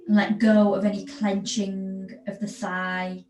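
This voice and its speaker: A woman yoga teacher giving guided relaxation instructions in a soothing, unhurried manner with long pauses between phrases. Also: medium pitch, little pitch movement. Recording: echoey room with background noise.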